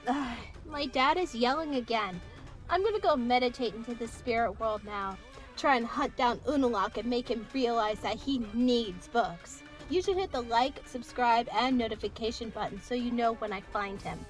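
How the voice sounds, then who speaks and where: A woman's voice: a little high, moderate pace, faint music behind, close to an everyday voice. A woman voicing a clingy, dramatic, bookish young girl with a whiny, melodramatic manner in a cartoon parody. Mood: bored.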